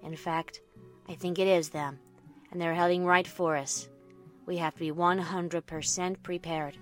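A woman plays a serious female army captain in an audio story. She speaks quietly and in a measured, restrained manner, over faint music. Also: lowish voice, steady tone, close to an everyday voice. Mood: hushed, serious. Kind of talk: audio story character acting. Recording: music behind the voice.

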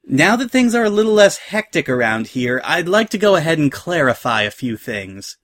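Genteel female pony pirate captain for storybook male reader: raised pitch, airy, smug, wordy, teasingly polite. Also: big pitch swings, moderate pace, fairly bright tone. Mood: breezy, smug.

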